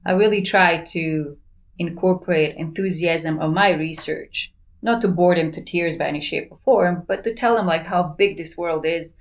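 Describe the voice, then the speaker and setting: A Bosnian-accented woman in her forties talks earnestly as an interview guest, her voice sitting low with a gravelly fry at the edges. She sounds enthusiastic and chuckles between thoughts. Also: moderate pace. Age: 40s. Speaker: plant pathology researcher, podcast guest. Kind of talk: casual interview conversation.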